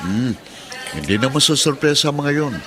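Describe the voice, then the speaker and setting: A man's voice: medium pitch, animated, normal speed, music in the background, close to an everyday voice. A man playing a brash, bawdy buddy in a radio drama, trading breezy, crude banter. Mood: breezy, joking. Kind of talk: Filipino radio drama acting. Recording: crowd bustle behind.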